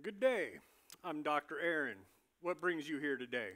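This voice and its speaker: A man playing a chatty, jittery doctor in an amateur comic staged reading, speaking in a casual, halting, confiding way. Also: on the high side, lively intonation, sharp tone. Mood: casual, professional. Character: chatty, jittery male doctor moonlighting as security supervisor.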